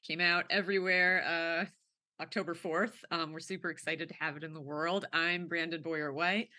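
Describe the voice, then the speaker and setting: A woman's voice: lowish voice, fairly even intonation, moderate pace, sharp tone. A woman speaking casually as a guest writer at an event. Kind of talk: casual introduction.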